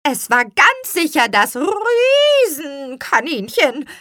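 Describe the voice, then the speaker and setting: Indignant clucking hens for a children's storybook: shrill, very high, wildly swooping delivery. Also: female voice, sing-song, slow, pushed and bright, no room sound, clean studio sound, full character voice. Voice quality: squawky, clucking. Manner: breaking into clucks mid-sentence. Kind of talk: children's storybook character reading.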